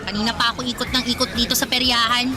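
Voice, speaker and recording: A tired, grumbling young woman, voiced by an actress, high in pitch. She complains over background music and a noisy crowd. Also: little pitch movement, quick pace, natural voice. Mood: weary. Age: young adult. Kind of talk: radio drama acting. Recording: music and crowd noise behind.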